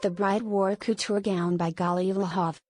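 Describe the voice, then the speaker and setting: Woman reading out a celeb news article, medium pitch, measured delivery, bit of music behind her. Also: fairly even intonation. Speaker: narrator.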